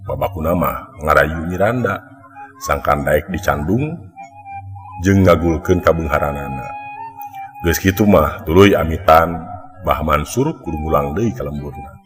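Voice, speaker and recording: A man with a Sundanese accent narrating a mystery folk tale in a dramatic storytelling style. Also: rumbling low. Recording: long echoing reverb, music underneath. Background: background music.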